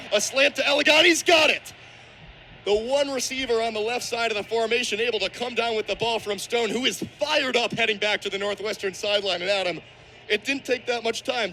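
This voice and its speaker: A young man doing live football play-by-play on the radio as the main commentator. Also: high voice. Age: young adult. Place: outdoor football stadium broadcast. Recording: stadium broadcast with loud crowd-like ambient noise.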